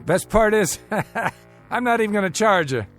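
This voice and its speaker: Secretive family man, careful plotter, guarded, radio drama, lively intonation, faint music behind. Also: high-pitched, moderate pace. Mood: breezy, casual. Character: secretive married father Bill, calm careful plotter.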